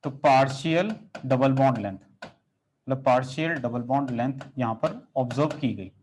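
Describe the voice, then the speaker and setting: A man's voice: mid-range voice, normal speed, slight background noise, poor audio. A man teaching chemistry, lecturing a class and turning the topic into a story, asking the students questions as he goes. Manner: animated, rhetorical questions, addresses students directly.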